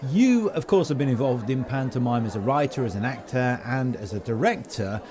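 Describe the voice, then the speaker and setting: British man, podcast host, mid-range voice, presenting and interviewing over clear chatter from a crowd. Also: medium pitch, lively intonation, fairly quick. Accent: British English. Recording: busy crowd chatter behind. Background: chatter of people at a venue.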